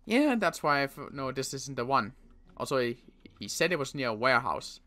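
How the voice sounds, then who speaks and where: A man playing a wary adult survivor in an improvised game roleplay, talking in a wry, halting way with frequent restarts. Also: mid-range voice, big pitch swings, bright, forceful tone. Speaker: roleplay player.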